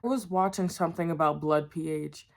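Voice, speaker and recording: Brief: young woman vlogger, slightly low voice, bubbly, rambling chat. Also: fairly even intonation, fairly quick, creaky. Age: young adult. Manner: bubbly, rambling, chatty with viewers. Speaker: writer vlogger. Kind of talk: casual chat to camera.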